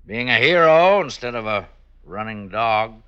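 A man's voice: medium pitch, lively intonation, slow, fairly bright tone, clean tone. An actor playing a sly, goading man who bargains with outlaws, in an old-time radio drama. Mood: sly, coaxing. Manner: goading, coaxing. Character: sly cajoling go-between for the governor, adult man. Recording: dull, lo-fi old radio recording.

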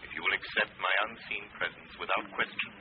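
An actor playing a mysterious unseen avenger who makes formal, commanding, ominous pronouncements in a 1940s radio drama. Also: male voice, sits low, fairly even intonation, fast, lightly acted. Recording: tinny, noisy vintage radio recording.

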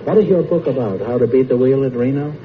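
A man hosting a quiz show, teasing his guests with quick jokes. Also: medium pitch. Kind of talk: wisecracking quiz show banter. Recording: tinny old broadcast with heavy hiss.

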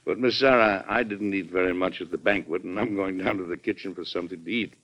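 An actor playing a genial, statesmanlike middle-aged American president in 1940s radio drama style, oratorical and folksy, with an American accent. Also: male voice, low voice, fast, a bit bright, dry recording. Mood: genial, relaxed. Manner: oratorical in speeches, folksy in private. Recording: dull vintage radio recording with music.

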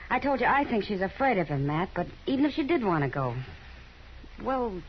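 A woman's voice: mid-range voice, sing-song, a little fast, lo-fi sound, lightly acted. Woman as insistent, concerned frontier saloon gal, American, medium register; hissy vintage radio drama recording. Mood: worried, insistent. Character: worldly, worried, frank frontier saloon woman. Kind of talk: 1950s radio drama acting.

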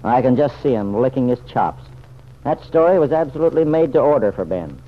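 A man's voice: mid-range voice, expressive, a little fast, natural voice. An actor playing a plain-spoken, good-hearted American regular guy with a folksy, slangy manner in an old-time radio serial. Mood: disgusted. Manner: folksy, slangy, hesitant at times. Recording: dull, noisy vintage radio recording.

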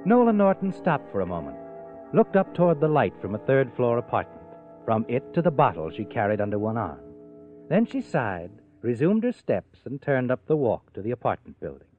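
Man acting mysterious narrator, American, ominous, dramatic swings in pitch, vintage radio suspense, tinny narrow sound. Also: sing-song, moderate pace, natural voice. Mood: grave, suspenseful. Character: mysterious male omniscient narrator of dark tales. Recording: vintage radio, narrow phone-like band, music behind.

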